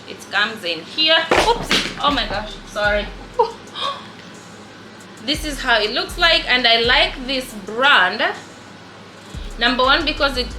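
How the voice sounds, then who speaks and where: A woman's voice: a little high, roomy sound. A young woman nurse from Kenya with a Kenyan accent, chatting casually and rambling through her work gear with many fillers. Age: young adult. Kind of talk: casual show-and-tell vlog.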